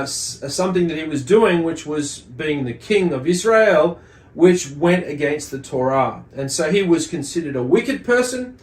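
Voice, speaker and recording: A man giving an explanatory religious lesson as a teacher, speaking in a measured way with deliberate emphasis and repetition. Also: on the high side. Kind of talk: explanatory religious lesson, reading and commenting on a text. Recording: echoey, roomy sound.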